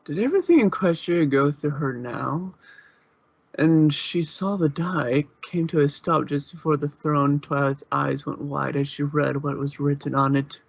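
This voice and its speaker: Higher-voiced man narrating, very dry sound. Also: measured pace, creaky, dry recording, lo-fi sound. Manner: informal, occasionally stumbling. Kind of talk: amateur narration of fan fiction.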